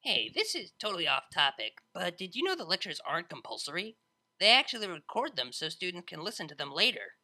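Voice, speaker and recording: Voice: mid-range voice, huge pitch swings, brisk, bright, forceful tone, voice pushed up, exaggerated voice. A narrator voicing a brash, playful young female pony DJ with a casual, slangy, blunt way of talking in an audio storybook. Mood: upbeat, chatty.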